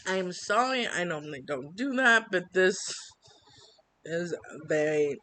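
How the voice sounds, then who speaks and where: A woman vlogging an upset complaint, halting with many fillers and restarts, reading from written notes. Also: on the low side, expressive, pushed and bright. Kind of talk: personal complaint vlog.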